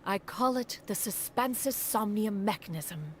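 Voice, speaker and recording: A woman's voice: medium pitch, expressive, measured pace, a little hiss or hum, close to an everyday voice. A woman voicing a dignified immortal inventor in a video game, formal, composed and self-assured. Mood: proud. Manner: formal, composed, stately diction.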